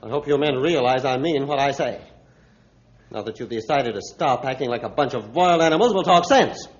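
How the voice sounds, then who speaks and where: An actor playing a stern, steadfast ship's captain with an authoritative, declamatory way of talking in a 1950s radio drama. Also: male voice, medium pitch, animated, brisk, lightly acted. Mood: firm. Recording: dull 1950s radio recording.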